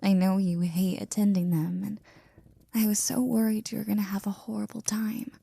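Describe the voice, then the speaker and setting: A woman playing a flirty, devoted maid in an intimate ASMR roleplay, breathy and often near a whisper, with a teasing, affectionate manner. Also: medium pitch, steady tone, dark tone, no echo. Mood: concerned, apologetic. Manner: teasing, affectionate, slow and drawn out.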